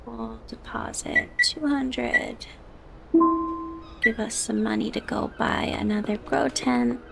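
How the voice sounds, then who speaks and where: A woman with a soft, rather high voice and a heavy, gravelly fry talks slowly and quietly, sometimes dropping to a breathy whisper. She murmurs as she plays, and clear music runs behind her. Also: fairly even intonation. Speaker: gamer. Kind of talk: soft-spoken ASMR gameplay.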